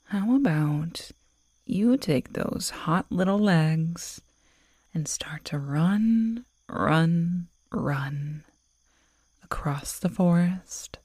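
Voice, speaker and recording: A woman's voice: low voice, warm tone, no echo. A woman playing a predatory, teasing werewolf girlfriend in an intimate, half-whispered ASMR roleplay, close to the microphone. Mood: playful, predatory.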